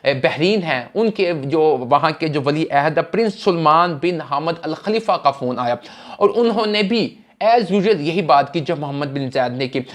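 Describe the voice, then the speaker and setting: Guy, kinda high voice, formal news talk straight to viewers, lots of restarts and ums. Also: animated, moderate pace. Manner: formal, addresses viewers directly, frequent restarts and fillers. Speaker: news commentator. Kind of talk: spoken news commentary.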